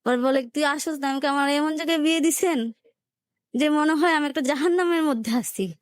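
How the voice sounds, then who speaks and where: A woman's voice: sits high, a little fast, no echo. A woman in her twenties with a Bangladeshi accent, telling her life story as a guest in colloquial speech, re-enacting what people said as she goes. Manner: colloquial, re-enacts reported dialogue as she narrates. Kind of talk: personal storytelling in an interview.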